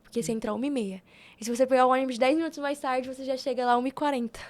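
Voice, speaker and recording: High-voiced young Brazilian woman, chatty and emphatic. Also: racing. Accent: Brazilian Portuguese. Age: young adult. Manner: animated, slangy, emphatic with repetition. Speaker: podcast guest. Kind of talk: casual, chatty interview answers.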